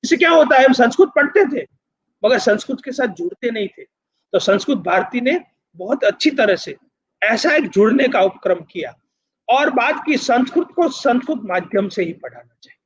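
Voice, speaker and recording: A man with a notably high voice and a Gujarati accent delivers a public lecture. He explains and persuades emphatically, building parallel repeated phrases and slipping in English words. The recording is dull and lo-fi. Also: expressive, moderate pace, slightly rough. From Gujarat, India. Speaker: university vice-chancellor, speaker.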